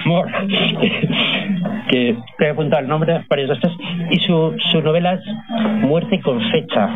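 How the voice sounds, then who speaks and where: A retired man in his sixties hosts the show in a chatty, stop-start way, often repeating words as he goes. The sound is narrow and phone-like, like a radio broadcast. Music plays clearly behind him. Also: fairly high pitch, takes it slowly. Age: 60s. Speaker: radio host. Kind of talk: radio interview.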